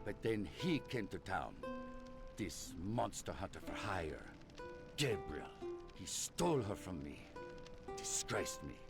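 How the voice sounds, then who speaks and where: Proud, disgraced captain for a game: slow, low, dramatic confessions over loud music. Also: male voice, big pitch swings, gravelly, exaggerated voice. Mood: bitter, remorseful. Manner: theatrical, slow, confessional delivery. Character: proud, remorseful male captain, a disgraced jilted duelist. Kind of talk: dramatic video game voice acting. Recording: music behind the voice.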